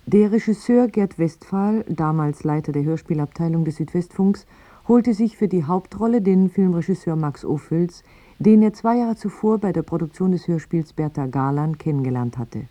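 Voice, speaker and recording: A woman's voice: on the low side, expressive, normal speed, warm tone, dry recording. A woman radio announcer reading a scripted introduction in a 1980s archive broadcast.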